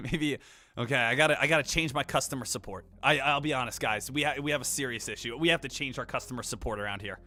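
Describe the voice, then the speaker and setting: A man's voice: mid-range voice, a little fast, pushed and bright. A man hosting a live day trading stream, chatting casually with viewers in a brash, joking, crude way. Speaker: day trading livestream host.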